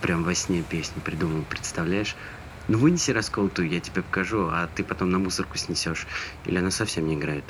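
A very low-voiced man as an eager, dreamy pal, played by an actor. His speech is casual and slangy, gently persuasive, in stage-play dialogue. The recording carries clear background noise. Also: fast, natural voice. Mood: coaxing. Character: eager, dreamy male friend, amateur songwriter. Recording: noisy stage recording with ambient sound.

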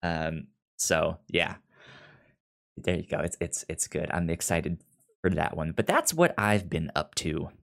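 A man's voice: deep voice, expressive, measured pace, vocal fry. A man hosting a casual TV review podcast, who often stammers and repeats the first sounds of words. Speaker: podcast host.

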